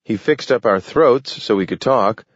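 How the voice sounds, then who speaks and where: A man voicing a gentle, idealistic male dog who can talk, pleading in a raised, eager voice. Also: medium pitch, measured pace, no echo, lightly acted. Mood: earnest. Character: earnest, gentle young male talking dog. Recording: dull, dry recording.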